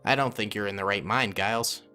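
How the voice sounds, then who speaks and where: A man's voice: on the low side, a little fast, bright, forceful tone, clean tone, soft background music. A man narrating a fan-made war story and voicing several soldiers in dialogue. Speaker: narrator and voice of most characters. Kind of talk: fan-made cutscene narration with character voices.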